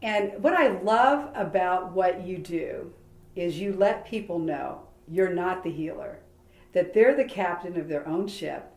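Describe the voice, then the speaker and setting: A woman's voice: fairly low pitch, expressive, measured pace, a little mellow. A woman hosting an interview, effusive and enthusiastic, heaping praise on her guest. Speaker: interview host. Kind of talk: casual interview chat. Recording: echoey room.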